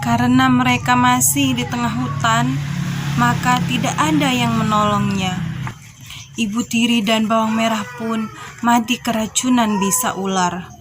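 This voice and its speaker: A young woman narrating a scripted children's story as a storyteller. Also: a little high. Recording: clearly noisy background.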